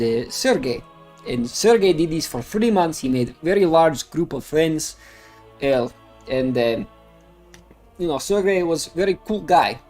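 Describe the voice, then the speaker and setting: A man's voice: on the high side, a little mellow. A man casually talking through crypto charts on a livestream, rambling with frequent fillers. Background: music playing.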